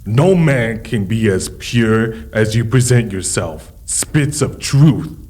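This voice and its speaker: A man playing a cold, regal devil tyrant in an audio drama, speaking with pompous, measured menace. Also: lowish voice, animated, a little mellow. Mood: cold, commanding. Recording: faint background noise.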